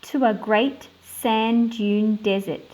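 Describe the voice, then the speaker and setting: A woman's voice: mid-range voice, steady tone, takes it slowly, a little mellow, low-quality recording. Australian woman reading to children. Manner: explanatory, simple clear phrasing for young listeners. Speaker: read-aloud host for children. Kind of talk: picture book read-aloud with explanations for children.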